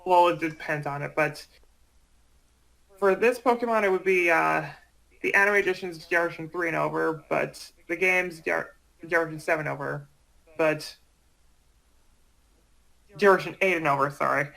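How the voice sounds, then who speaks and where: A man explaining things in casual chat, haltingly, often repeating and correcting himself. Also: a little high, vocal fry, bright, forceful tone, low-quality recording.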